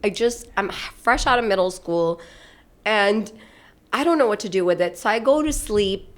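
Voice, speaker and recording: A chatty woman who grew up in Pakistan sits in as a podcast guest. She tells her stories in a loose, filler-heavy way and laughs as she goes, her voice sitting in a middle pitch. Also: moderate pace. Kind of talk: casual storytelling chat.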